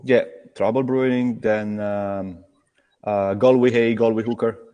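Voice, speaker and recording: Halting man with reverb. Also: fairly low pitch, steady tone, warm tone. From Italy. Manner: halting, many restarts and fillers. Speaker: craft brewer, guest. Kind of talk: casual video call chat.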